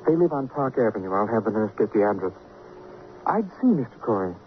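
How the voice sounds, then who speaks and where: An actor playing a wry, unflappable doctor, speaking in a dry, measured way in an old radio drama. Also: male voice, fairly low pitch, sing-song. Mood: grave, candid. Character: wry, unflappable male sanatorium doctor, protective of patients. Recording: tinny vintage radio with faint noise.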